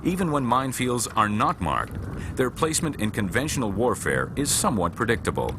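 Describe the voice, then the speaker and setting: A man reading scripted instructional narration for a military training film in a measured, formal, matter-of-fact way. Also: mid-range voice, sing-song, noisy background.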